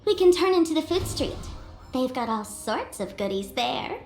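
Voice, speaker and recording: A sly young female trickster from an anime-style game. Her voice is high and animated, coy and theatrical, with a roomy sound and soft music underneath. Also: normal speed. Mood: teasing.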